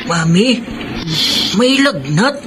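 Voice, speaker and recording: A performer acting a childlike, affectionate son in a Filipino radio drama, speaking in simple halting phrases. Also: mid-range voice, big pitch swings, background noise. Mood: childlike, concerned.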